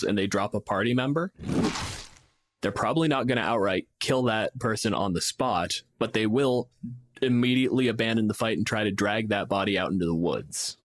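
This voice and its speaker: A man from Canada co-hosting a podcast, explaining and arguing his points casually with some swearing. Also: on the low side. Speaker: podcast co-host and game master.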